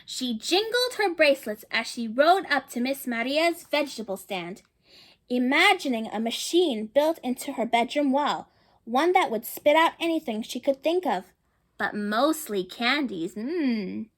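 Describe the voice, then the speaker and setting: A woman with a high, light voice reads a children's storybook aloud. She pauses to think aloud and to put questions to her young listeners. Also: a bit bright. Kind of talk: picture book read-aloud with asides to listeners.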